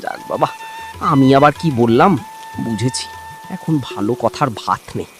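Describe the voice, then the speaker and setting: A man's voice: mid-range voice, big pitch swings, normal speed, a bit bright, faint music behind. A man voicing a judgmental, meddling village neighbour in a cartoon, scolding and sneering. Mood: huffy. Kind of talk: cartoon character dialogue.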